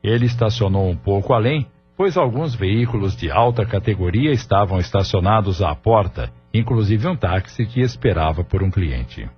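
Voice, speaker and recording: A man's voice: lowish voice, expressive, normal speed, few pauses. A man reading scripted narration for an audio drama as its narrator. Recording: muffled studio narration over music.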